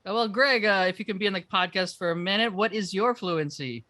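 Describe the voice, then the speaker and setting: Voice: medium pitch, brisk, bright, forceful tone. A podcast host asking guests questions in an upbeat, casual way and laughing often.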